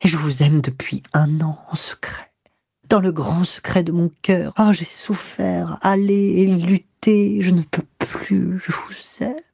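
A man's voice: high-pitched, fairly even intonation, normal speed, darkish tone, slightly rough, no echo, clean studio sound, voice pushed up. A man voicing a middle-aged married woman who confesses a secret love in a breathless, tearful whisper. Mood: anguished.

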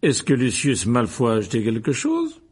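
A man voicing an excitable, enthusiastic middle-aged father in an audiobook, eager and full of exclamation. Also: mid-range voice, moderate pace, breathy edge. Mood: fierce, indignant.

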